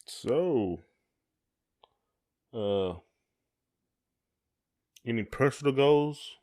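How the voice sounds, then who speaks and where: A man's voice: medium pitch, lively intonation, drawn-out words, darkish tone. A man co-hosting a podcast in a casual chat, with folksy, loose phrasing. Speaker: podcast co-host.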